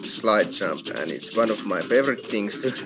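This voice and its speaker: A man's voice: lowish voice, lively intonation, normal speed. A man giving casual, explanatory let's play commentary as a gaming host, with non-native phrasing. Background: video game music.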